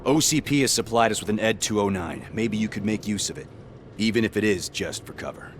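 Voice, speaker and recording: A man playing a protective, businesslike police squad leader in a video game, brisk and plain-spoken. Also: on the low side, a little hiss or hum, close to an everyday voice.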